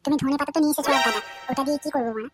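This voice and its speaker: A woman's voice: sits high, very fast, over loud music, natural voice. A woman voicing a nagging, short-tempered housewife in a comic cartoon, scolding and insisting. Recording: noisy homemade recording.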